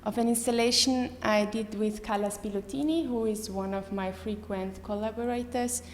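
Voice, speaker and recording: A woman in her thirties with a German accent, giving a live lecture to an audience, pausing often with many ums and restarts. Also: mid-range voice, steady tone. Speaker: artist giving a talk. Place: lecture hall. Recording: echoey hall with faint room noise.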